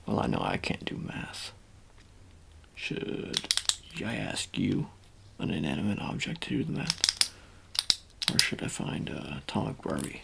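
A young man doing an improvised ASMR roleplay in a breathy half-whisper, mumbling in a halting, offbeat way close to the microphone. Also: low voice, wildly swooping pitch. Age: young adult. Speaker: ASMR performer. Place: small dark room indoors. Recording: noisy home recording with clicks.

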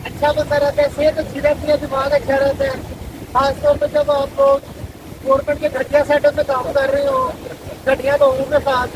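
A man, a friend on the trip, talking outspokenly in a casual outdoor chat by a river. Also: very high voice. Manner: outspoken, complaining about bad bosses. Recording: wind noise and rushing water.

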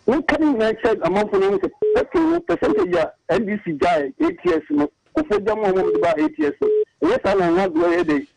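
Gravelly, animated man on a phone line. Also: extremely high pitch, background noise. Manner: animated, argumentative, rushing through figures. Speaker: caller. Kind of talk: phoned-in political opinion.

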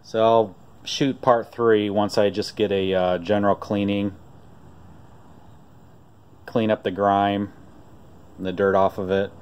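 Low, flat-voiced man rambling outdoors. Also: slow pace, pauses often, clear, resonant tone, dull audio. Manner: relaxed, rambling storytelling with frequent "uh"s. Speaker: vlogger showing a vintage find. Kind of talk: casual off-camera narration. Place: outdoors on a driveway.

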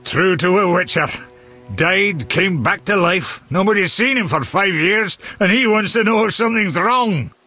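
A gruff, loyal dwarf fighter in a fantasy video game. The voice is raspy and fairly high, with a sharp edge. The talk is hearty, wry and blunt. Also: male voice, unhurried, faint music behind, full character voice. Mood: surprised, hearty. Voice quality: gravelly, raspy. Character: gruff, hearty male dwarf warrior, loyal old pal.

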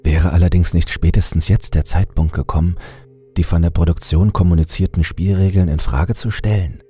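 A man's voice: low voice, fairly even intonation, moderate pace, mellow, slightly rough, soft background music. A man reading a scripted horror story as narrator.

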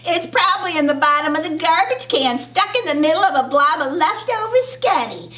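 Woman doing a high-pitched sassy little country girl. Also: sing-song, takes it slowly, far from the mic, exaggerated voice. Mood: taunting. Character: sassy little country girl, teasing tree-climbing sister.

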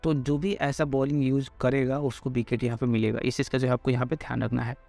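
A man's voice: medium pitch, little pitch movement, fast, gravelly, darkish tone, faint music behind. An Indian man presenting informal sports analysis, explaining things repetitively and addressing listeners directly. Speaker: cricket pitch report presenter.

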